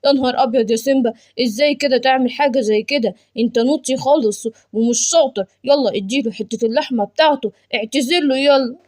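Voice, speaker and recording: A woman's voice: high voice, fast, hardly any pauses, darkish tone, pitched below the performer's own voice, close to an everyday voice. A woman voicing a protective animal father with a reproachful, exclamatory manner, in Egyptian Arabic for a children's cartoon. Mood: scolding. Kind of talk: children's cartoon story voice acting.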